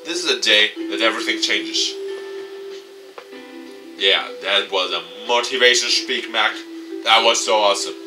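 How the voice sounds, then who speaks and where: A man reading a visual novel aloud and commenting off the cuff, dramatic with excitable asides. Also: lowish voice, measured pace, pauses often. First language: not English. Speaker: let's play YouTuber. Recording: distant mic, music behind. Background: game music.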